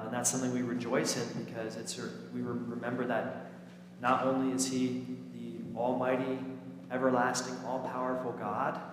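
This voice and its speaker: A man around his thirties or forties with a slightly raspy voice, preaching as a pastor in an informal, lightly joking way. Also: mid-range voice. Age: 30s or 40s. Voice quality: a little raspy. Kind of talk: sermon and children's message in church. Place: inside a church during a service. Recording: echoey church hall, noisy, long reverb.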